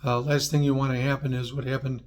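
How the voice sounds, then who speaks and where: A man's voice: mid-range voice, level tone, fast talker, a little mellow. A man from New York with an American accent, presenting an instructional talk in a conversational way, with short clipped phrases and dry asides. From New York, United States. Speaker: presenter of a how-to video series on public speaking.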